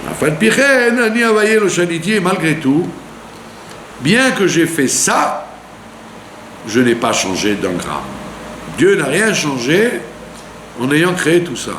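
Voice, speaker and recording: Man, rabbi, sermon, fairly high voice, lively intonation, echoey room. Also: a little slow, pauses often. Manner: animated teaching, with rhetorical questions and frequent "d'accord?". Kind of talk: Torah lesson sermon. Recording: echoey room with faint hum.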